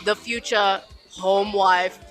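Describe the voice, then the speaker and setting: A young woman from Zimbabwe with a Zimbabwean accent softened toward American, telling her story candidly in a studio conversation and acting out what other people said. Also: mid-range voice, takes it slowly, soft background music. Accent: Zimbabwean, toned toward American. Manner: animated, acts out quotes in other voices. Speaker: guest singer. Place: radio studio.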